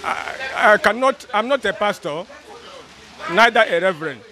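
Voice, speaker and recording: A man's voice: sits high, expressive, moderate pace, pushed and bright. An older man with a Nigerian accent, answering a street interview in a rambling way with pidgin phrases, into a handheld mic outdoors. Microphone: handheld mic held by interviewer.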